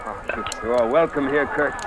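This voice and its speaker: An actor playing a haunted, guilt-ridden war veteran, halting and brooding, in a 1950s radio drama. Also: male voice, mid-range voice, natural voice. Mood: uneasy, agitated. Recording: noisy old radio broadcast recording.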